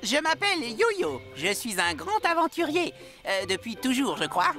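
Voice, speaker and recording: A woman's voice: a little high, sing-song, moderate pace, bright, forceful tone, faint music behind. A woman voicing a small, cocky, curious adventurer creature in a cartoon, casual and eager. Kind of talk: French cartoon dubbing.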